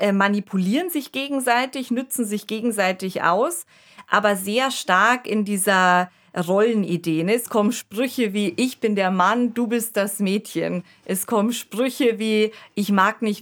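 A woman speaking in a measured, analytical way as co-host of a radio discussion. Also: mid-range voice.

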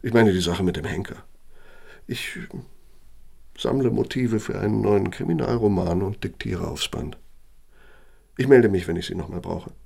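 Gravelly, self-important older man. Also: lowish voice, normal speed, long pauses, dark tone, full character voice. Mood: pompous. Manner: pompous, insinuating, theatrical. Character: self-important older male architect, insinuating, bitter, brooding. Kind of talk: German radio play acting, monologue and dialogue.

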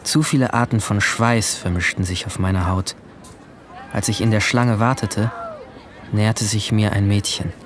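Low-voiced troubled teenage boy played by a man. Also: fairly even intonation, normal speed, crowd noise, lightly acted. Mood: brooding. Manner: introspective, literary. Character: troubled, brooding seventeen-year-old boy narrating his story. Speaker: narrator and lead character. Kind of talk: first-person radio drama narration.

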